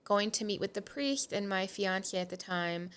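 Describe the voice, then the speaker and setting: A woman in her thirties, originally from Portugal, speaks as a podcast guest in a mid-range voice. She tells her faith story in a candid, reflective way and often pauses on "um" and "like". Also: medium pitch, fairly bright tone, smooth. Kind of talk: personal testimony in conversation.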